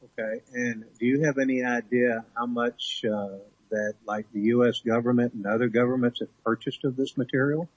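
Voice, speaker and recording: A man's voice: medium pitch, fairly even intonation, moderate pace, hardly any pauses, slightly rough, dry recording, lo-fi sound. A man asking a radio guest questions in a curious, musing way. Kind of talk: conversational questions.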